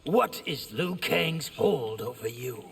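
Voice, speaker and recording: A man's voice: mid-range voice, expressive, slow pace, gravelly. A man playing a proud warrior in a fantasy video game, speaking earnestly and formally. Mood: grave, resolute. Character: proud male warrior addressing his ruler. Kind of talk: video game dramatic acting. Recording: long reverb, loud noise and clicks.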